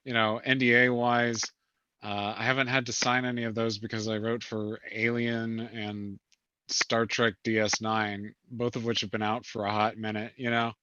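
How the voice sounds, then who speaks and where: A man talks casually on a convention panel, joking and laughing as he goes. His voice has a very sharp, bright edge. The sound is dry and a little dull, like a cheap mic. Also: on the low side, moderate pace, clear, resonant tone, dry recording. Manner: laughs often, relaxed and joking. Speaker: panelist author.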